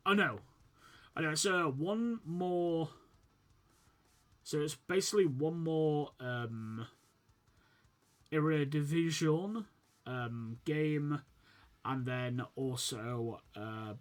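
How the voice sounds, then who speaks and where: A young man, slightly sniffly from a fading cold, casually commentating his football management game while thinking aloud with many fillers. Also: fairly high pitch. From United Kingdom. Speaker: gaming channel host.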